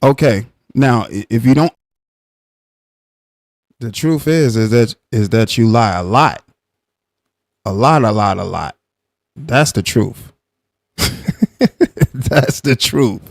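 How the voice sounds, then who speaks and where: A man's voice: mid-range voice, lively intonation, takes it slowly, vocal fry, long pauses, no room sound. A man hosting a live stream, giving commentary and arguing with a caller in a blunt, insistent way that repeats points. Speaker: livestream host.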